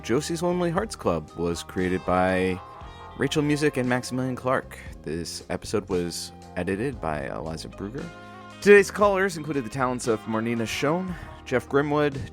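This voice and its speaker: Low-voiced, awkward stand-in radio announcer played by man. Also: close to an everyday voice. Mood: composed, announcing. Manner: hesitant, fumbling. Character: awkward, flustered sidekick filling in as radio announcer. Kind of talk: audio drama acting, announcing.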